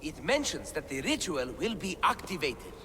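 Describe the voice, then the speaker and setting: A man's voice: on the high side, sing-song, moderate pace, pushed and bright, in a large hall, a little hiss or hum. An actor playing an eager male scholar deciphering ancient writing, talking about his discoveries with enthusiasm. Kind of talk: game cutscene acting.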